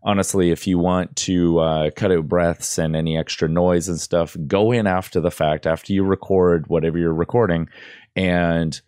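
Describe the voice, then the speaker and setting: Very deep-voiced young man, dry close mic. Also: steady tone, moderate pace, darkish tone, clean tone, dry recording. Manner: rambling, many fillers and restarts. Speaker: audio tutorial host. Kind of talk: casual screen-recorded tutorial. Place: small room at a desk. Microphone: studio microphone close up, headphones on.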